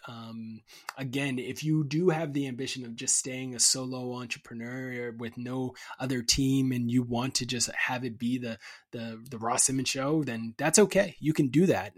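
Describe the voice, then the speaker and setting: A man in his early thirties chats easily as an interview guest, relaxed, with plenty of ums and restarts. His voice sits at an ordinary pitch. The recording is close and dry, with no room sound. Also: no echo. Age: 30s. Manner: relaxed, chatty, many fillers and restarts. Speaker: guest, marketing agency founder. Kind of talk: casual interview conversation.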